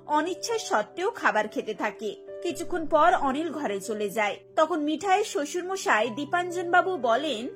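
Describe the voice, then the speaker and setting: A woman's voice: sits high, expressive, moderate pace. A woman reading scripted narration for a cartoon story. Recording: music bed behind the voice.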